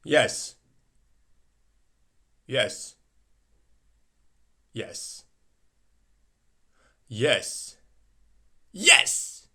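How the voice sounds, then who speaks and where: A man with a bright, sharp voice records a series of one-word sound effect takes. His pitch swings widely and animatedly from take to take, and he delivers each take very slowly, stretching it out. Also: wildly swooping pitch. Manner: many takes of one word, from whispered to shouted.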